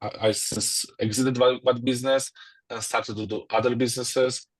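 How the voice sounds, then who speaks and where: A man from Poland with a Polish accent, introducing himself on a video call in a halting way, with many restarts. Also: mid-range voice, little pitch movement, breathy edge, no echo, poor audio. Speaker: entrepreneur guest.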